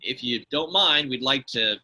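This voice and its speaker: A man voicing a nervous, polite young delivery guy with a husky, hesitant voice in an amateur table read. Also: mid-range voice, lively intonation, a little slow, pushed and bright, full character voice. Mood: nervous politeness. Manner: hesitant, placating.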